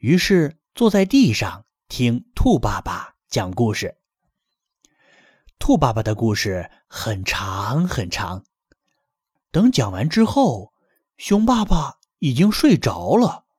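A man reading a children's bedtime story aloud in a gentle, lively storytelling manner. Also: medium pitch, slow pace, husky, no room sound, studio quality.

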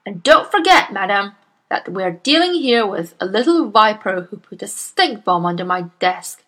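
A woman voicing a huge, bullying female headmistress who barks and shouts with scorn. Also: medium pitch, sing-song, normal speed. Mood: bellowing scorn.

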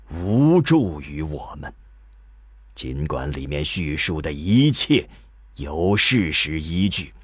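A man acting a very deep, husky old scholar. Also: fairly bright tone, no room sound, clean studio sound, heavily acted. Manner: measured, halting, lecturing. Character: old scholarly man explaining patiently to a youngster. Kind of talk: radio drama acting.

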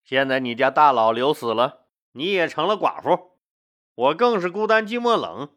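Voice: medium pitch, lively intonation, moderate pace, a bit bright, close to an everyday voice. A storyteller voicing a lonely, cheeky nomad chieftain in a folksy, mock-pitiful, wheedling manner.

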